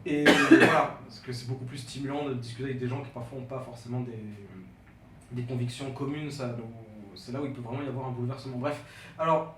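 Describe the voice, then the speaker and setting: A man's voice: medium pitch, steady tone, fast. A young man, a student guest on a casual podcast, talking in a thoughtful, wry way. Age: young adult. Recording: echoey room, mic at a distance, faint noise.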